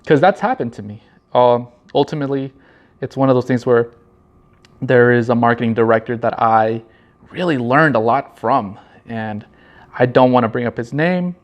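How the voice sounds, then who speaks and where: A man from Los Angeles County talks casually and reflectively about himself, halting often and repeating his phrases. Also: medium pitch. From Los Angeles County, California. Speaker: vlog host and marketing director. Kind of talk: casual personal monologue, storytelling. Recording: slightly dull, lo-fi sound.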